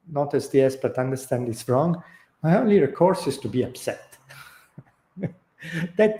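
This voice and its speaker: Middle-aged man, native Italian speaker with a light Italian accent, mellow tone, reflective off-the-cuff delivery. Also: medium pitch. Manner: thoughtful, unscripted, many fillers and restarts. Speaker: host. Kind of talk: casual talk.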